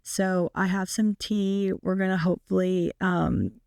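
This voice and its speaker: Hoarse, raspy young woman podcaster. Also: steady tone, unhurried, darkish tone, no room sound, clean studio sound. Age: 20s. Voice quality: raspy, deep. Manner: chatty, many fillers. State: sick, hoarse. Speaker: podcast host. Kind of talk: casual solo podcast chat.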